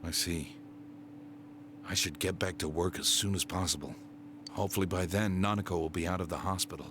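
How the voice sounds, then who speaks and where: An actor playing a gruff, middle-aged police detective and worried father in a video game, slightly hoarse. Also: low voice, steady tone, brisk, noisy background, lightly acted. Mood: grave.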